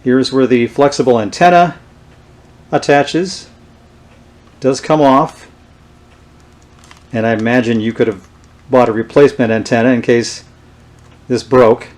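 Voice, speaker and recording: A man presenting an unscripted show-and-tell demonstration in a halting, unhurried way. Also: medium pitch, fairly even intonation, a little mellow. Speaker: presenter showing vintage radio gear.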